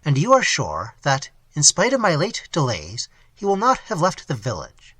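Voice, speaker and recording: A man voicing a bold gentleman highwayman captain who gives crisp, commanding orders. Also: huge pitch swings, moderate pace, dry recording, heavily acted. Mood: brisk.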